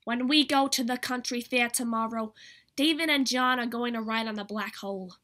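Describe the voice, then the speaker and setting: A woman's voice: a little high, normal speed, pushed and bright. A teenage girl voicing an annoying young child who recites a stage monologue emphatically. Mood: whiny, insistent.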